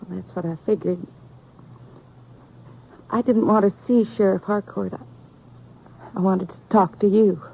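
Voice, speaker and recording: An actress playing an anxious, humble American farm wife in a radio western, pleading and halting, with a husky voice. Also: female voice, mid-range voice, steady tone. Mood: nervous. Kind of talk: 1950s radio drama acting. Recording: muffled old radio broadcast.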